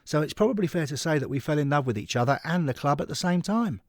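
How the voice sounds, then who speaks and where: A man's voice: fairly high pitch, expressive, quick pace, no room sound, studio quality. A man voicing an earnest, literal-minded and sentimental male football fan devoted to his partner in an audio story.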